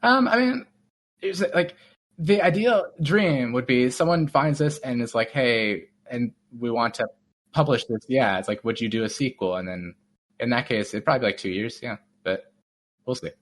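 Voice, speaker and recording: A man's voice: medium pitch, normal speed, a bit bright. A man, a game developer guest, talking casually in an interview, rambling with many fillers and restarts.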